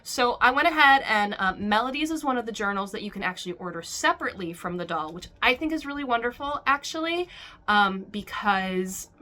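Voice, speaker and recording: A woman chatting casually as a doll collector vlogger, rambling with restarts, her throat still hoarse and her nose sniffly from being sick. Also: on the high side, expressive, fairly quick, sharp tone. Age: adult. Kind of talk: casual chat to camera. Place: warm room at home with a ceiling fan.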